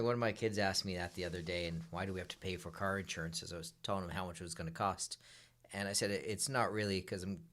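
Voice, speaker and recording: A middle-aged man co-hosting a financial advice show, answering in quick, offhand replies. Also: low-pitched, fairly bright tone. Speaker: financial advisor and co-host. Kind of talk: casual chat.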